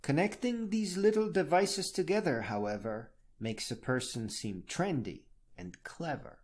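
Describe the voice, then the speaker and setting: A man's voice: fairly high pitch, animated, measured pace, darkish tone. A man reading a scripted personal blog piece aloud as its narrator.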